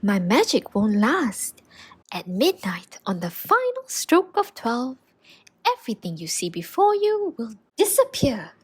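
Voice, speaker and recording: A woman's voice: a little high, huge pitch swings, unhurried, slightly rough, full character voice. A woman voicing a kindly, commanding fairy godmother in a storybook, reassuring and instructive. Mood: warning.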